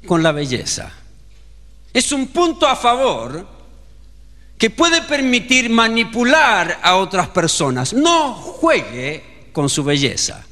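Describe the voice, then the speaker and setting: Chilean preacher, bright and lively, in a hall. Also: male voice, very high-pitched. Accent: Chilean Spanish. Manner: storytelling preacher, acting out dialogue. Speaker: pastor. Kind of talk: sermon.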